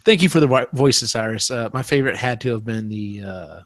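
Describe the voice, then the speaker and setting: A man's voice: medium pitch, expressive, a little fast, no room sound. A man hosting a casual panel chat, rambling a little as he feels for words. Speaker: podcast host.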